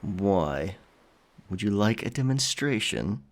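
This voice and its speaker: An actor playing a teasing, self-assured pirate sailor in an audio drama. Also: male voice, lowish voice, sing-song, takes it slowly, slight background noise, full character voice. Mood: teasing, flirtatious.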